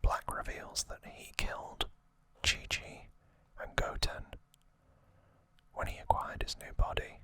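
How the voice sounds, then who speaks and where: A man whispering a casual ASMR recap in short, hushed, unhurried phrases. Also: no echo. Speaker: ASMR host.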